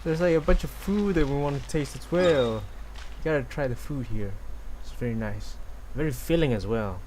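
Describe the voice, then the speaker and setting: A man's voice: mid-range voice, moderate pace, a little mellow, slight background noise, low-quality recording. A man giving casual, hesitant gameplay commentary, thinking aloud. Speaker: game streamer. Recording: game music behind voice.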